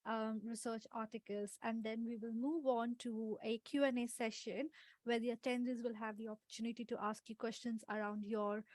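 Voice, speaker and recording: A woman's voice: a little high, no room sound. Polite woman moderating a webinar. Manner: polite, with frequent "um" and "uh". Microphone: video call microphone.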